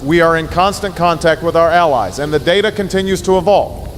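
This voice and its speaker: Actor as grave, higher-voiced president. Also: male voice, a little hiss or hum, natural voice. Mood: measured, firm. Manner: measured, solemn, reassuring oratory. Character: grave, resolute American president addressing a nation in emergency. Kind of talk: formal press conference address.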